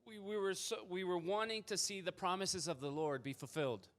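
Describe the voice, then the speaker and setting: A man with a fairly high voice interpreting a sermon into English. He follows the preacher phrase by phrase, sometimes halting and restarting while he finds the words. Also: fairly bright tone.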